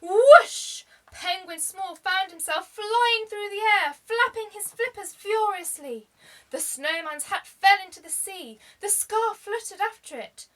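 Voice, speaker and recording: A woman reading a children's story aloud like a teacher, expressively, with deliberate dramatic emphasis. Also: extremely high pitch, lively intonation, poor audio.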